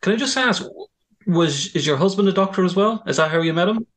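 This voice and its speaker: A man's voice: high-pitched, animated, fairly quick, fairly bright tone. A man hosting a podcast interview, asking upbeat, chatty questions with small restarts. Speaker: podcast host.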